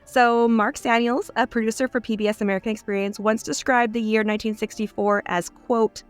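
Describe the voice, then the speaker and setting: A woman co-hosting a podcast in bubbly casual conversation, her voice slightly hoarse from illness. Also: on the high side, steady tone, fairly quick, faint music behind. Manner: bubbly, enthusiastic storyteller. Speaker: podcast co-host. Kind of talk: casual chat.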